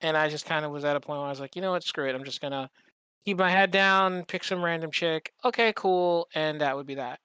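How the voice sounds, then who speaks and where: A man hosting a podcast in casual conversation, speaking informally and a little haltingly. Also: on the high side, pushed and bright, smooth, dry recording. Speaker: podcast host.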